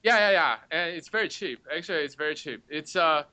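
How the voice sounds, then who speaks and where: A man, a startup founder answering interview questions in a halting, self-mocking way. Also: a little high, sharp tone, smooth, no room sound. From Taiwan. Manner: halting, searching for words, self-mocking humor.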